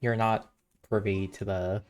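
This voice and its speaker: A woman's voice: very deep voice, steady tone, a little slow, darkish tone. A woman giving casual commentary as she streams a game, with music behind her. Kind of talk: casual commentary while playing.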